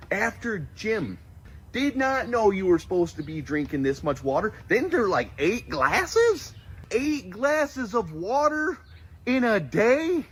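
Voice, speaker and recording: Middle-aged man, comedic monologue, incredulous, animated high voice, slow pace, faint noise. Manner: mock-incredulous, drawn-out emphasis.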